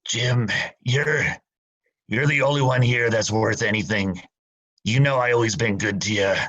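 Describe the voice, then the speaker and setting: A man voicing an old drunken pirate captain who talks in gruff sailor dialect and pleads fearfully. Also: mid-range voice, a little slow, a bit bright, lo-fi sound. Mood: pleading. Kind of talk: student radio play acting.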